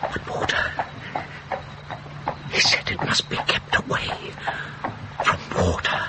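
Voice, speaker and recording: An actor playing an anxious, fussy, well-bred British gentleman in a ghost story radio drama, formal and urgent in manner. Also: slow, heavy background noise, natural voice. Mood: dreadful unease. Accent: British English. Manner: formal, old-fashioned, urgent.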